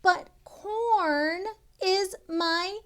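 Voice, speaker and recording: A sad, dramatic sheep from a storybook, played by a woman reader. The voice climbs high into a slow, tearful wail. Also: a bit bright, full character voice.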